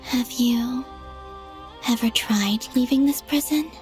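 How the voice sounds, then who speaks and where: Young game goddess, serene, slightly high, soft-spoken, resolute, faint music behind. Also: female voice, slow. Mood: calm, inquiring. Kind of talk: anime game cutscene acting.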